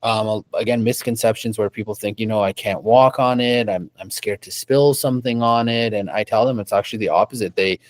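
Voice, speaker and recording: A man's voice: lowish voice, brisk, hardly any pauses, no room sound. A young man from Canada, a rug dealer, answering interview questions casually at his shop desk, often repeating and restarting words.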